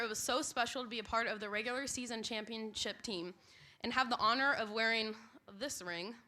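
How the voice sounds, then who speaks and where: A young woman, a college softball player, giving a grateful, upbeat prepared speech at a banquet. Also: a little high. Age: young adult. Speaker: student athlete.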